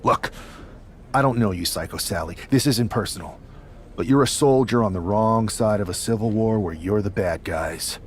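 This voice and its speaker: A man's voice: lowish voice, normal speed, a little hiss or hum, close to an everyday voice. A man playing a confrontational, scornful, hot-tempered wrestler in a video game. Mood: grudging, firm. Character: defiant, hot-tempered loyalist male wrestler. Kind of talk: video game cutscene dialogue.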